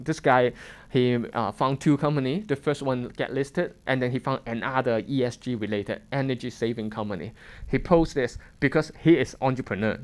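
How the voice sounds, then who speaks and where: A man in his thirties from Hong Kong with a Hong Kong accent, giving an informal seminar talk as a fund manager, halting and restarting often with small chuckles. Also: medium pitch, darkish tone, clean tone. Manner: halting, many restarts and chuckles, plain conversational English. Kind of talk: informal lecture to students. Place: lecture room.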